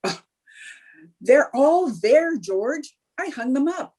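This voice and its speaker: A woman playing a scheming, excitable young society housewife in a theatrical radio sitcom style. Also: high voice, slow pace, exaggerated voice. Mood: excited. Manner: coaxing, theatrical. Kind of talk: old-time radio sitcom acting.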